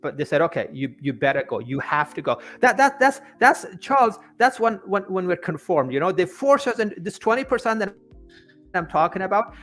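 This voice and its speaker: A man's voice: high voice, big pitch swings, fairly bright tone, faint music behind. A man from Afghanistan, a native Persian speaker, telling his story as an interview guest in an earnest way, often repeating words as he searches for the next. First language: Persian (Farsi). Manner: halting, stammering repetitions of words.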